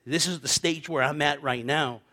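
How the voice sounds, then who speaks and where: Fifty-something pastor guy preaching, slightly high voice, lots of repeats and chuckles, acts out what people said. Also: normal speed. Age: 50s. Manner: animated, repeats words, laughs often, acts out quoted voices. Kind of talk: sermon with personal storytelling.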